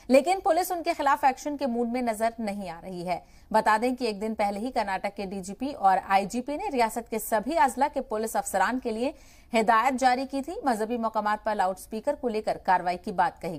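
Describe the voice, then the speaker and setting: A woman reads the news in a formal, scripted broadcast style. Her voice sits a little high, and she moves through her sentences at a very fast clip. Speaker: news presenter. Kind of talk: scripted Urdu news narration.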